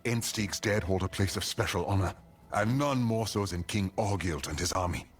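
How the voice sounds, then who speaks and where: A man's voice: low-pitched, normal speed, breathy edge, soft background music. A man voicing a calculating, solemn military leader in a video game.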